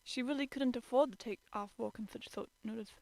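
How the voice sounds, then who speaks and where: A woman's voice: fairly high pitch, a little fast, creaky, a little mellow, no room sound. A woman giving an informal, amateur dramatic reading of a story as narrator. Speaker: amateur fan fiction reader.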